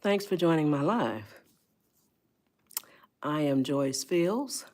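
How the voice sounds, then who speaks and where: A woman in her eighties hosting a casual livestream, chatting slowly and greeting viewers by name. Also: sits low, expressive, darkish tone. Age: 80s. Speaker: livestream host. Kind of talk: casual livestream advice talk.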